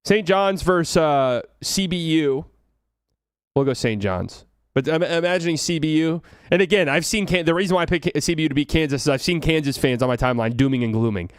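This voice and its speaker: A man's voice: a little high, a little fast, clean tone, no room sound, studio quality. A man from Texas with a light Texan accent, hosting a casual solo sports talk show, rambling, joking and thinking aloud. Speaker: podcast host.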